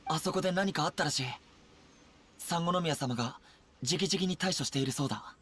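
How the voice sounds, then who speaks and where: An earnest young commander from an anime game. His voice is husky and a little high, and he speaks quickly with serious, concerned authority. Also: a bit bright. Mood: earnest, commanding. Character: young male army general, earnest and concerned. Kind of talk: Japanese anime game voice acting.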